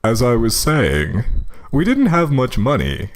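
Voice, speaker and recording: A man's voice: mid-range voice, huge pitch swings, unhurried. A man playing a snooty, mildly befuddled older husband in a comic reading, hesitant and meandering in his delivery. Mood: musing. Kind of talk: comic in-character banter and story reading.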